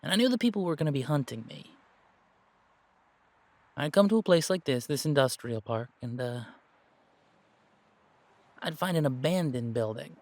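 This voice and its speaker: A man plays a young male gamer with a fairly high voice, earnest and unsure of himself. He stammers and thinks aloud as he goes. It is an audio roleplay with a dry, close sound. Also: expressive, normal speed, long pauses, darkish tone, no echo. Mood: tentative reasoning. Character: anxious, self-doubting young male gamer, earnest, reluctant tracker. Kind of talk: amateur audio roleplay drama.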